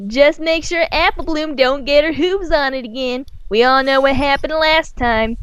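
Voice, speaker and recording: A woman's voice: sits high, moderate pace, dry recording, voice pushed up, natural voice. A woman voicing a hearty, chatty female farm pony with a folksy country drawl, in a storybook reading. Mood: teasing.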